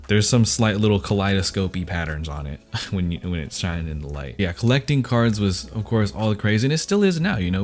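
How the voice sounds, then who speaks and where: Young american dude w a lowish voice, rambling to his viewers, laid back, lots of slang. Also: a little mellow. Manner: laid-back, slangy, chuckling, self-deprecating. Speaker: video creator telling stories to viewers. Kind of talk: casual rambling chat, storytelling.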